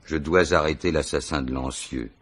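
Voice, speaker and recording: An actor playing a dogged, unflappable police commissioner in a radio mystery, dry and gently ironic in his delivery. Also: rumbling low, normal speed. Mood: firm, probing. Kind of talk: French radio mystery play acting.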